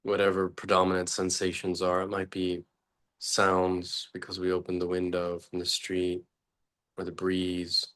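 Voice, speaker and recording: A man leading an unscripted guided meditation as a teacher, speaking haltingly in short phrases with long pauses between them. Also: deep voice, monotone, fairly bright tone.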